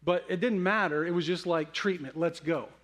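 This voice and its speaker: A middle-aged man preaching a sermon as a pastor, telling stories conversationally and leaning into dramatic, weighted pauses. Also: a little high, a bit bright. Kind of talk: sermon with personal storytelling.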